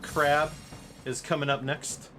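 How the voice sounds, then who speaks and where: A man's voice: on the high side, level tone, slow, sharp tone. A man giving informal, jokey live commentary on his speedrun as he plays. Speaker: speedrunner commentating his own game run. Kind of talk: casual live stream game commentary. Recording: game music behind the voice. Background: video game music.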